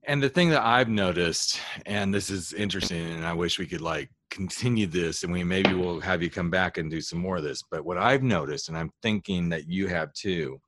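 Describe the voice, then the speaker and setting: A man hosting an interview who works as a therapist, speaking in a measured, reflective way. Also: sits low, fairly bright tone, dry recording. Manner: measured and reflective, builds long thoughts. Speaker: host, therapist. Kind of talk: conversational interview.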